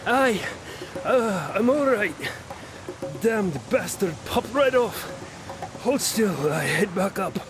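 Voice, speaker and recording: A man playing a gruff, steadfast knight in an audio drama, with old-fashioned, folksy phrasing. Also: sits high, expressive, slow pace, pauses often, music in the background. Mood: gruff, matter-of-fact. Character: gruff, steadfast male knight climbing a cliff.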